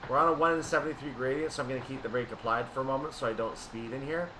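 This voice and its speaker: A man's voice: medium pitch, rapid-fire. Man commentating gameplay, distant noisy mic. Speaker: gaming video creator. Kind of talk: casual gameplay commentary on a train simulator. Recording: distant mic, clear background noise.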